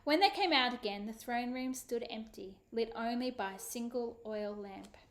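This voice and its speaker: A woman, a children's author, giving an expressive, animated dramatic reading of her book. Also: a little high, normal speed. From Australia.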